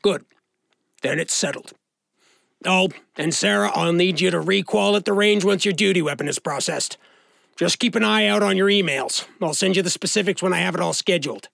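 An actor playing a firm, gruff male police captain. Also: high voice, moderate pace, a bit bright, no echo, studio quality, full character voice. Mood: stern.

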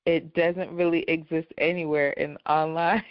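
A woman's voice: deep voice, level tone, normal speed, no room sound, highs cut off. A woman co-hosting a podcast in casual chat, with a dry way of talking. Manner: dry delivery. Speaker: podcast co-host.

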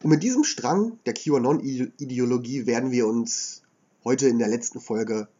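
A man with a slight cold and a hoarse edge, explaining history as a podcast host in a measured lecturing way with frequent filler sounds. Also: mid-range voice, dark tone, dull audio.

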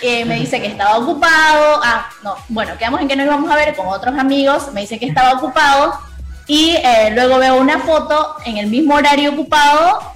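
A high-pitched woman talks casually and confidingly, sharing a personal story. Her voice rings out in a roomy, echoey space with faint music underneath. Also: fairly bright tone. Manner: chatty, shares personal anecdotes in a quick confiding way. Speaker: co-host. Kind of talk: casual chat.

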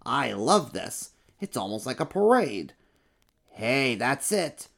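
A man voicing a vain, attention-hungry monster emperor in a storybook reading, with a drawn-out, showy delivery. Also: medium pitch, big pitch swings, vocal fry, fairly bright tone, full character voice. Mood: boastful. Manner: boastful, showy, then sheepish.

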